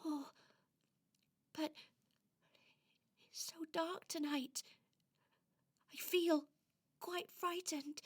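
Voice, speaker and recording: Gentle, frightened little toy, played by a woman for children's radio drama: high, unhurried, tearful-sounding and faltering. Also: full character voice. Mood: frightened, hesitant. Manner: hesitant, plaintive, stammering. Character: timid lost Christmas toy, gentle, tearful and easily frightened.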